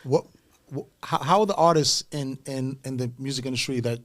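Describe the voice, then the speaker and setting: A man's voice: medium pitch, brisk, a bit bright. A man interviewing casually, feeling his way through rambling, slangy questions.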